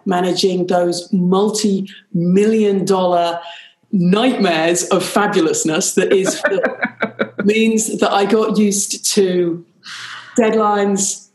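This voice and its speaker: Gravelly British-accented woman, echoey. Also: medium pitch. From Ireland, raised in Pakistan and Nigeria. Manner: chatty, animated, frequent "rather lovely". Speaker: business strategist guest. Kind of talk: conversational interview.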